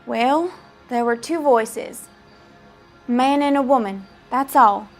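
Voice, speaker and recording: A woman's voice: a little high, slow, over music. A woman playing an eager, coy young witness in a crime drama game, polite and hesitant.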